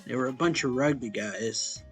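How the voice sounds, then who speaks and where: A man narrates a visual novel in a casual, informal manner. His voice sits at a middling pitch with a creaky, fry-edged texture, and music plays behind him as he reads. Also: normal speed. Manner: informal, stumbles and corrects misreadings. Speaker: let's play host reading a visual novel aloud.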